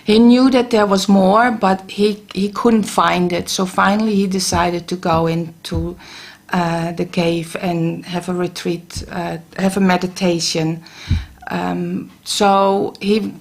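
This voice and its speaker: A middle-aged woman from the Netherlands with a Dutch accent, a guest on a radio show, talking about Reiki with many pauses and restarts. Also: fairly low pitch, steady tone. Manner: hesitant, many ums and restarts. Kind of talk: casual radio interview. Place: radio studio.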